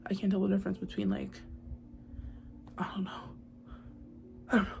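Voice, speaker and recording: Young american woman rambling to camera, lots of likes, some fry, faint outside noise on a cheap mic. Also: mid-range voice, completely flat delivery, normal speed, darkish tone. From United States. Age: young adult. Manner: chatty, lots of "like" and "girl", drops to a whisper at times. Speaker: vlogger. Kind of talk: casual chat to camera. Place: noisy spot with outside sound. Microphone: built-in device mic, no proper microphone. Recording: faint outdoor noise behind a built-in mic.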